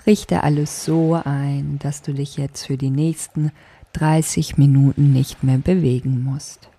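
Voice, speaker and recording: A woman leading a guided sleep meditation as a yoga nidra teacher, speaking softly in a calming, deliberate way. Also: deep voice. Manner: soft, calming, deliberate instruction.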